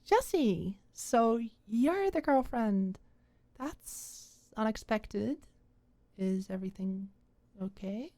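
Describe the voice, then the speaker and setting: Woman playing a timid, high-voiced young woman. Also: expressive, slow pace, mellow, no echo. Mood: uneasy. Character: timid, flustered, bashful young woman, new student. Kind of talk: audiobook character acting.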